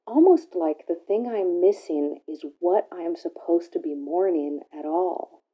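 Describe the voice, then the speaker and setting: A woman giving a slow, reflective spoken-word reading in a measured, pensive way with pauses. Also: on the low side, expressive, highs cut off. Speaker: reader of a spoken interlude.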